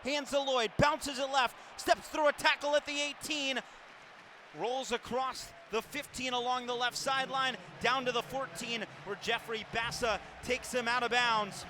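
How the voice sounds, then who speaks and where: A man's voice: very high-pitched, flat delivery, moderate pace. A man calling football play-by-play for radio in clipped, descriptive phrases, projecting his voice like a sports announcer. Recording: loud stadium ambience behind the voice. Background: stadium noise.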